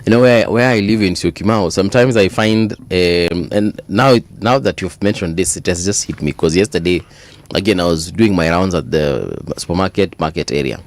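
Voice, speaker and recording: A man with a Kenyan accent co-hosting a morning radio show, telling animated stories in casual chat and mixing Swahili into his English. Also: low-pitched, normal speed. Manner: animated storytelling, mixes Swahili into English, laughs often. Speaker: radio co-host. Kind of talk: casual on-air banter. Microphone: studio microphone.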